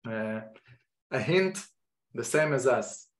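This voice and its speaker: A man's voice: mid-range voice, animated. Man with an Israeli accent, echoey room. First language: Hebrew. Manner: halting, many fillers and restarts. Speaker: host. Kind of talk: online lecture introduction.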